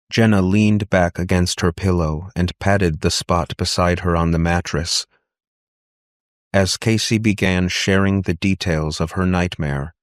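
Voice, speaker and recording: A man's voice: low-pitched, no room sound, clean studio sound. A man reading scripted fiction as an audiobook narrator.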